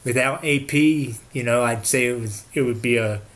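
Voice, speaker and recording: A man's voice: medium pitch, normal speed. Teasing, offhand co-host guy. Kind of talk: casual sports chat.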